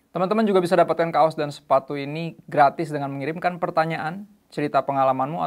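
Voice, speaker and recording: Gravelly-voiced male church show host. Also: mid-range voice. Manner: relaxed slangy delivery, quoting scripture. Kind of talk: casual preaching talk.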